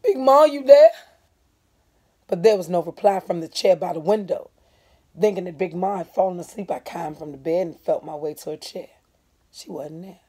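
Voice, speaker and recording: A woman reading a novel aloud in an expressive, dramatic first-person storytelling style. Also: on the low side, fairly quick. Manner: expressive, dropping into hushed breathy tones.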